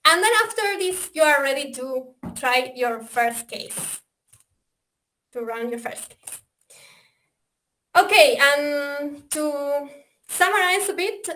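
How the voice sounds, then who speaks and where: A woman with an Argentinian accent presents a technical webinar in English over a video call. Her voice sits fairly high. She talks hesitantly, filling gaps with "uh" and restarting her phrases. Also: sharp tone, a little hiss or hum. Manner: hesitant, many fillers and restarts. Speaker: software developer presenting.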